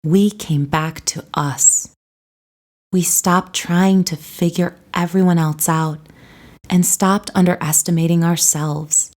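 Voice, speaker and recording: Woman, guided meditation narrator, slightly low voice, very slow pace, gentle, soothing, scripted delivery. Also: steady tone.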